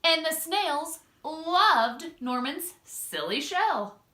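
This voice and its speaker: Woman, children's storytime reader, high voice, very lively intonation, talks directly to kids, echoey room. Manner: expressive, drawn-out emphasis, addresses young listeners directly. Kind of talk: animated children's storytime read-aloud.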